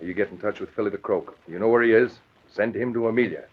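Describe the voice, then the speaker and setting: An actor playing a cool, sardonic, commanding crime boss in an old radio drama. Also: male voice, on the low side, fast, noisy background, close to an everyday voice. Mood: condescending, commanding. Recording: dull lo-fi old radio recording.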